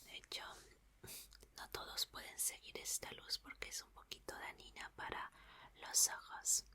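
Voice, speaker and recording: A woman playing a calm, caring young scientist who repairs an android, in a slow, soothing, breathy ASMR whisper. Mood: focused, soothing. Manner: slow, soothing, repeating words softly. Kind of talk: whispered Spanish ASMR roleplay.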